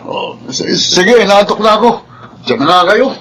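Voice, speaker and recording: An actor playing a scheming, hot-tempered rich son in a radio drama, bossy and quick to get defensive. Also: male voice, sits high, lively intonation, fairly bright tone, a little hiss or hum, poor audio. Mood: angry, threatening. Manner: bossy, defensive, stammers when cornered.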